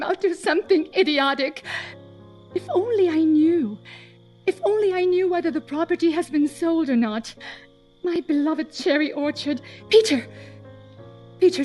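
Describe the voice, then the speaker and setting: A woman playing a vulnerable, emotional aristocrat in her fifties in a theatrical stage monologue, pleading and halting, repeating herself. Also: sits high, dark tone, pitched above the performer's own voice. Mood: desperate, pleading. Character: vulnerable, emotional aging aristocratic woman of fifty-six, desperate.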